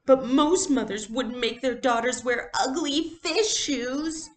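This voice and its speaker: A woman voicing a stubborn, indignant little girl from a storybook, protesting in a shrill, tearful whine. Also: takes it slowly, roomy sound. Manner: bellowing, sputtering, wailing protest.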